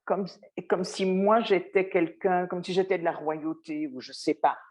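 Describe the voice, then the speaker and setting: Older Belgian woman, low and mellow. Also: fairly even intonation, unhurried. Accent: Belgian French. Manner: thoughtful, restarts phrases often. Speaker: interviewee, former radio worker. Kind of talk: reflective interview talk.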